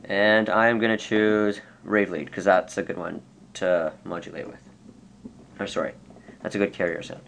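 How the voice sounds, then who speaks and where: Man, fairly low voice, casual tutorial talk, step-by-step, self-correcting, some background noise. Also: steady tone, normal speed, vocal fry, fairly bright tone. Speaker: tutorial presenter. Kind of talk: unscripted screen-recorded software tutorial.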